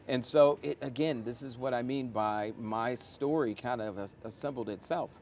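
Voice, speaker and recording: A man's voice: mid-range voice, normal speed, a little mellow. Emphatic middle-aged American man, faint background noise. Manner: emphatic, motivational, uses examples and rhetorical questions. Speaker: interview guest, airport chief executive. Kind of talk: conversational interview answers, storytelling.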